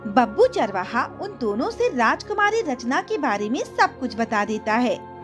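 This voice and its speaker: High-voiced woman narrating a cartoon tale. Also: sing-song, a little slow, music in the background. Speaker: story narrator.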